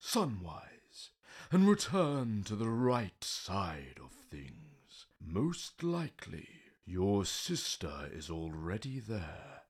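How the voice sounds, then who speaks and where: A grim, cryptic guardian of stone, played by a man in an audio play. The voice is low and extremely slow, yet rises and falls dramatically. Every phrase is formal and portentous. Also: huge pitch swings, slightly rough, full character voice. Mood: warning. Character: grim, sage male stone being, solemn and cryptic. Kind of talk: theatrical audio play acting.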